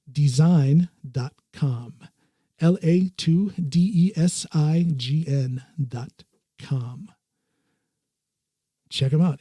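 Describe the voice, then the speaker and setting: A man hosting a casual podcast, easygoing and quick to laugh, often restarting his sentences. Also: on the high side, dark tone, slightly rough, no room sound, clean studio sound. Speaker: podcast host.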